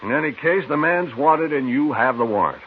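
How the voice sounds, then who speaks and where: A man acting a stubborn, smug American official in a radio western. His middle-pitched voice swings with self-righteous insistence, on a narrow, muffled old recording. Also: animated, fairly quick, slight background noise, lightly acted. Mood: righteous, urgent. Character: officious, smug, stubborn cattlemen's association agent.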